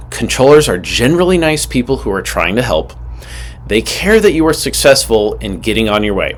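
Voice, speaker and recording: A man with a fairly low voice presents a scripted instructional lesson. He sounds like an instructor, direct and matter-of-fact, leaning on his key points for emphasis. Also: fairly bright tone, slight background noise. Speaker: instructor presenting a pilot training video.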